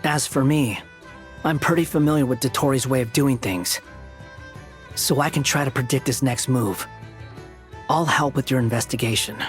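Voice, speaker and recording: An actor playing a calm, analytical ally in a video game, with a husky voice, explaining things plainly. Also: male voice, medium pitch, normal speed, pauses often, faint music behind, natural voice.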